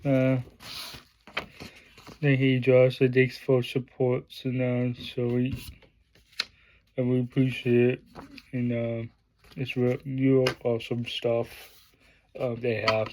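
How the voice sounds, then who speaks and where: A man unboxing things on his vlog, speaking slowly and at an unhurried pace. His voice stays flat and level, with little rise or fall, and his plain praise keeps getting broken up by fillers and restarts. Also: mid-range voice, slight background noise, rough recording. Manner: halting, many fillers and restarts. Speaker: vlogger.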